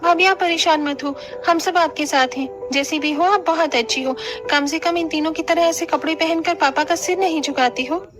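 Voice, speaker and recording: A woman's voice: high-pitched, normal speed, few pauses, music in the background, lightly acted. A woman playing a kind, loyal young sister-in-law in a family cartoon, speaking reassuringly. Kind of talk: Hindi cartoon family drama dialogue.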